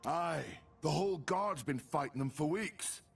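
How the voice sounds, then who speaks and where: A man's voice: a little high, measured pace, vocal fry, breathy edge, far from the mic, soft background music, full character voice. An actor playing a humble, earnest villager and father in a fantasy game, rustic in manner. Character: humble, grateful male villager, worried father.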